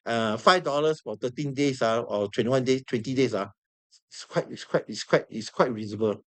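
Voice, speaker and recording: A man with a Singaporean English accent teaching a live trading class. He is hoarse from losing his voice, repeats himself often and throws questions at the room. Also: mid-range voice, normal speed, fairly bright tone. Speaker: trading instructor.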